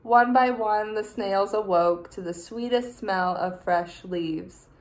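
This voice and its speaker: A young adult woman, a teacher, reading a picture book aloud in a deliberate, expressive way for small children. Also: medium pitch. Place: small room indoors.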